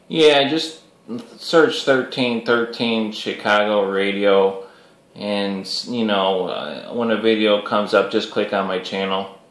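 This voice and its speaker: A man with a fairly low voice talks casually in a roomy, echoing space. He is an easygoing radio hobbyist who speaks haltingly and repeats himself. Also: a bit bright. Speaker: CB radio operator making a video. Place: radio station set-up, recorded on camera.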